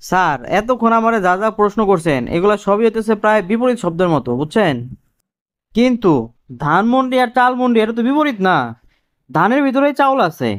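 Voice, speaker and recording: A man voicing a cheeky, quick-witted cartoon job candidate who explains things deferentially in colloquial Dhaka-accented Bangladeshi Bengali. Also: sits high, expressive. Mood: confidently explaining. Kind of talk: comic cartoon dialogue.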